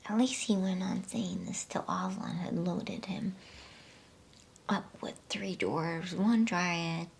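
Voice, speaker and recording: A woman softly whispering an ASMR bedtime story, reading in a slow, soothing manner with pauses between phrases. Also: fairly low pitch, fairly even intonation, gravelly.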